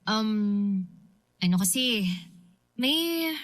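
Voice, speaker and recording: A woman's voice: medium pitch, expressive, very slow, no echo, studio quality. A young woman playing a spoiled, whiny college-age younger sister in a radio drama. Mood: wheedling. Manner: pouty, whining, colloquial. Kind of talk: Filipino radio drama acting.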